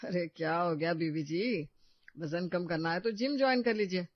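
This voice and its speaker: A man playing a smooth-talking, evasive husband in a cartoon story, teasing and defensive. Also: high-pitched, normal speed, dry recording, highs cut off, natural voice. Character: glib, evasive husband making excuses.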